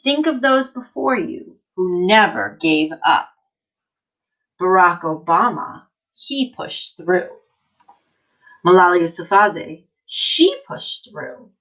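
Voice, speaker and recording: A woman's voice: fairly low pitch, animated, slow, a bit bright, rough recording. A woman in the role of a teacher reading a picture book aloud to children, speaking directly to them with emphatic encouragement.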